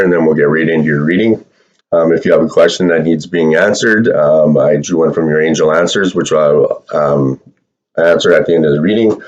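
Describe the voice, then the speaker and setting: Deep-voiced man giving a chatty tarot reading. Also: darkish tone. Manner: chatty, many fillers, occasional swearing. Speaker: tarot reader. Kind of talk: casual tarot reading to camera.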